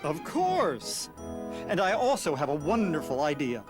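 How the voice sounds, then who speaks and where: An eager skeleton showman from a cartoon game. His high voice swoops widely with theatrical flourish and good nature, over prominent music. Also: a little slow. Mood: excited, determined. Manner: dramatic, grandly expressive. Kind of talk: video game cartoon voice acting. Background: orchestral game music.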